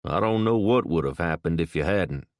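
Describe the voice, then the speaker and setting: A man's voice: sits low, expressive, normal speed, dry recording, studio quality. A man doing a stubborn old farmer.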